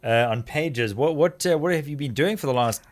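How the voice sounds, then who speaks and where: A man's voice: mid-range voice, expressive, moderate pace. A man chatting casually on a podcast, swearing freely and chiming in with short agreeing replies. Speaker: podcast co-host. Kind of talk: casual chat.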